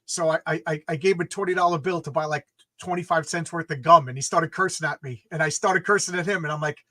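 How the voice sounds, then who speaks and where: A man's voice: on the high side, fairly even intonation, fast, pushed and bright, no room sound. A middle-aged man with a New York accent, hosting a casual chat and telling stories with many stammered restarts. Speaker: host. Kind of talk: casual conversation.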